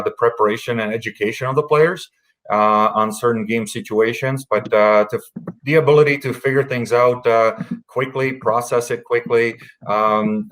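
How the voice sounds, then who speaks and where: A man from the Czech Republic speaks in a low, monotone voice that barely rises or falls. He answers interview questions haltingly, filling pauses with frequent hesitations. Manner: halting, many uh fillers. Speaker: hockey executive guest.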